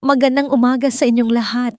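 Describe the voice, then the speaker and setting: A woman voicing a dignified elderly matriarch and chairwoman in an audio story, giving a calm, clear, formal speech. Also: on the high side, quick pace, dry recording, clean studio sound, voice pushed up, natural voice. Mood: calm, solemn.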